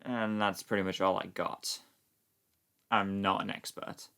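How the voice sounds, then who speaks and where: A man's voice: low voice, level tone, a little slow, gravelly, pushed and bright, clear, resonant tone. A man giving casual, joking commentary on a film in a mock-pedantic, analytical way. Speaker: fan dub commentator.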